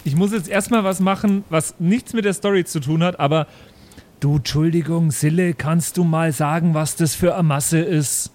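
Higher-pitched man chatting as a player. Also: moderate pace, a little mellow. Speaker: player in a role-playing podcast. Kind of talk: casual improvised game chat. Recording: music bed behind the voice. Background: background music.

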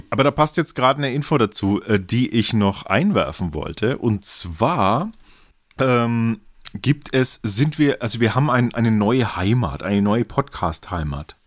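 A man's voice: mid-range voice, animated, measured pace, no echo, clean studio sound. A man with a Franconian accent co-hosting a beer podcast in casual chat, rambling and correcting himself often, laughing easily. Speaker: podcast co-host.

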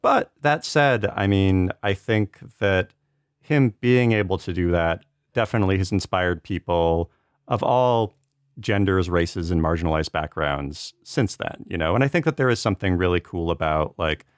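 A man's voice: low-pitched, lively intonation, normal speed, dry recording, studio quality. A man talking casually as a podcast panelist, hedging and rambling, with "like" peppered through his sentences. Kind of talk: casual conversational discussion.

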